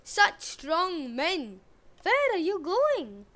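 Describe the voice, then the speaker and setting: Woman reading as a towering harvest goddess, high bright voice, commanding and proud. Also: wildly swooping pitch, very slow, exaggerated voice. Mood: sweet, pleading. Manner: sweet when disguised, booming when angry.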